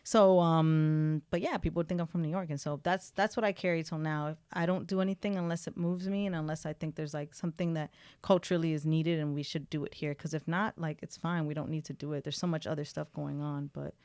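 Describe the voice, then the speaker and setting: A woman's voice: low-pitched, fairly even intonation, a little fast, darkish tone, clear, resonant tone, dry recording. A woman in her thirties from Miami, chatting casually as an interview guest with lots of filler words. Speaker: event producer guest.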